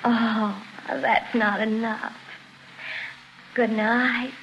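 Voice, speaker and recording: A woman's voice: fairly high pitch, little pitch movement, extremely slow, fairly bright tone, lo-fi sound, exaggerated voice. An actress playing a coy, clingy young woman in love, cooing sweetly in 1940s radio drama. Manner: cooing, sugary. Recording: old radio recording with background hiss.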